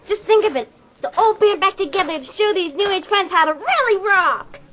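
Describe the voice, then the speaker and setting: A woman's voice: very high voice, lively intonation, normal speed, a bit bright. A woman playing an enthusiastic young security team member in an audio drama, perky and playful. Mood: upbeat, playful.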